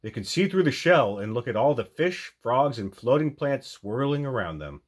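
Animated, husky male storytime reader. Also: medium pitch, moderate pace. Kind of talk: animated children's storybook narration.

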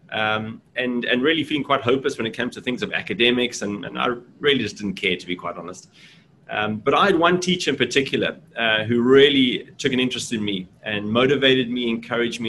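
A man's voice: medium pitch, a bit bright, a little hiss or hum. A middle-aged man from South Africa, a school deputy principal, talking earnestly and reflectively in a casual interview over a video call. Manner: earnest, reflective, lots of fillers. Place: indoors, small room, video call. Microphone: video call webcam.

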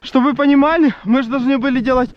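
High-voiced soldier barking orders. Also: male voice, fairly even intonation, no echo, highs cut off. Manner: brisk, commanding, swearing. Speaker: soldier on a raid. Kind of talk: live field talk recorded on a body camera.

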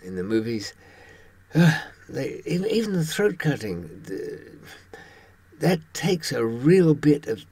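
A man in his seventies giving a rambling monologue to camera with wry, dark humour, often hesitating as he searches for words, in a husky voice. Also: on the high side, very animated, slow pace, dry recording. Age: 70s. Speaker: host recounting experiences from a criminal past.

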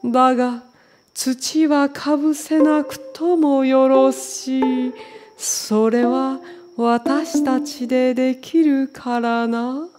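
Woman acting elderly regal king, storybook, very unhurried, old-fashioned stately speech, high voice. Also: steady tone, over music. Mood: wistful, formal. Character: old canary king, male, regal, fond of treasure.

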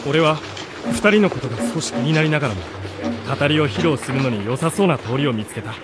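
Radio drama lead: earnest bookish runaway boy played by a man, highish animated voice, expressive storytelling delivery. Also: fast, music in the background, natural voice. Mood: curious narrating. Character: earnest seventeen-year-old youth, bookish runaway storyteller. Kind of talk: radio drama narration and dialogue.

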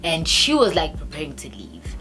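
A woman in her twenties with a South African accent tells a story casually to camera, chatty and halting, sometimes reading aloud. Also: low voice, wildly swooping pitch, poor audio. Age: 20s. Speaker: storytime vlogger. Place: small bedroom, indoors. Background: background music.

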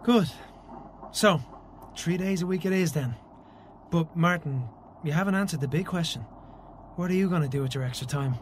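Blunt Irish male colleague for radio drama, actor: teasing, slightly high pitch, some background noise. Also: normal speed, natural voice. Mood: inquisitive. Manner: dry, ribbing. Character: blunt, teasing male workmate keen to retire. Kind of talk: radio play acting, naturalistic dialogue.

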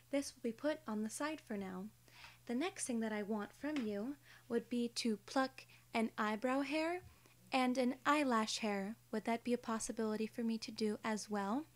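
A young woman playing a polite, clinical alien inspector in an ASMR role-play, soft-spoken, formal and soothing. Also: fairly high pitch, measured pace, natural voice. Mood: polite, gentle. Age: young adult. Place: small indoor room, seated.

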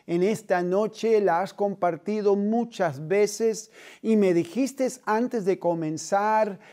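Middle-aged male TV host, slow and earnest, mellow voice, studio setting. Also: high voice. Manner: earnest, measured, with gentle hand gestures.

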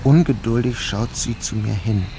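An actor playing a troubled, dazed man who narrates his own day in a measured, literary radio drama style. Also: lowish voice, mellow, slight background noise, natural voice. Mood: downcast. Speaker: first-person narrator.

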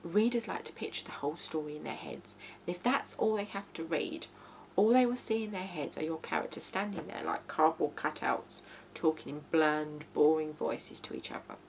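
Low-pitched woman with a gravelly creak. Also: normal speed, rough recording. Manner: blunt, sweary, emphatic. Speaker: writing advice presenter. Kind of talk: informal video tutorial, talking to camera. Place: small living room indoors. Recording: hum and background noise.

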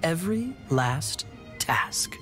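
An actor playing a suave, teasing young knight captain in an anime game, smooth and theatrical. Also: male voice, on the high side, big pitch swings, takes it slowly, music in the background. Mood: reassuring, playful.

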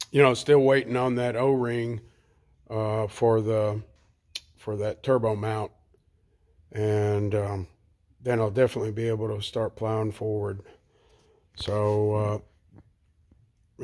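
Slow, halting man talking shop. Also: medium pitch. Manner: slow, halting, with frequent fillers. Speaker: home mechanic vlogger. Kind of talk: casual narration. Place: home garage.

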